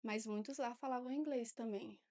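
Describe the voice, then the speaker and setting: A young woman, a guest on a podcast, speaking warmly but hesitantly with many fillers. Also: fairly high pitch, normal speed. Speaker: guest volunteer. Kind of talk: casual interview.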